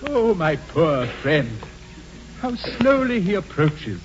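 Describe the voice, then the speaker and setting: An actor playing a fussy, pompous English country clergyman in a 1940s radio comedy, with an unctuous, drawn-out delivery. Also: a little high, sing-song. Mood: solemn sympathy. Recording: dull, noisy 1940s radio broadcast.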